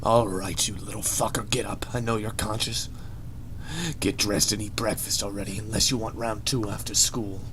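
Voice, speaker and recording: A man's voice: on the low side, flat delivery, normal speed, fairly bright tone, close to an everyday voice. A middle-aged father, crude and domineering, acted out by a man reading a story. He talks in a blunt, bullying way. There is faint noise behind the voice. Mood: cold, threatening.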